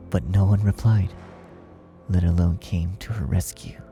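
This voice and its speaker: A man reading a dark fan fiction story aloud as scripted, dramatic narration. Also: low voice, steady tone, a little slow. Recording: music behind the narration. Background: music.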